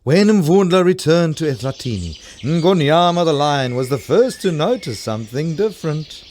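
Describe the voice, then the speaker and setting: An actor playing the narrator of a folktale in scripted audio theatre for children. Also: male voice, fairly high pitch, big pitch swings, moderate pace, darkish tone, a little hiss or hum. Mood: storytelling. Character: lively male folktale storyteller.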